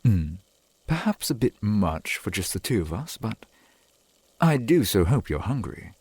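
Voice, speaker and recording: A man playing a centuries-old vampire boyfriend in an ASMR roleplay, doting and earnest, with halting, old-fashioned formal speech. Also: fairly low pitch, very animated, a little mellow, slightly rough, no room sound, exaggerated voice. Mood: proud, flustered. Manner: formal archaic wording, halting, eager to please.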